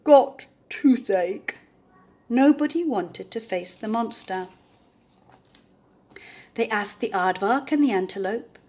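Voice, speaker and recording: Older female storyteller, high and very expressive, unhurried picture-book narration. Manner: deliberate, theatrical delivery. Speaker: storyteller reading aloud.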